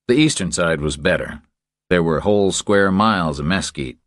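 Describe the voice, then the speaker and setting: Deep-voiced man narrating an audiobook. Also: a bit bright, no echo, clean studio sound. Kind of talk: scripted third-person fiction narration.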